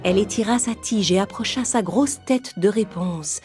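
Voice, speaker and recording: A woman's voice: medium pitch, normal speed, a little mellow. Woman narrating a fairy tale over music. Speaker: storyteller. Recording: music behind the voice.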